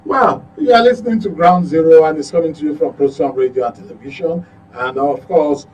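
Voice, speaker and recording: A Nigerian man hosting a current affairs discussion in a halting, hesitant manner. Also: a little high, a little mellow. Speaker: radio and TV show host. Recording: dull, distant, echoey room with hum.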